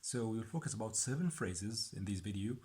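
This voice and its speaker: A man's voice: on the low side, lively intonation, very noisy. Patient man repeating phrases. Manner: slow and patient, repeats phrases several times. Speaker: Arabic teacher. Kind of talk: language lesson for beginners.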